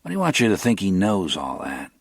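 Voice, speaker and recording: A man's voice: deep voice, huge pitch swings, fast, vocal fry, no echo, exaggerated voice. A man voicing a guarded, dry-spoken ex-convict in an audiobook, terse and understated. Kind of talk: audiobook character reading.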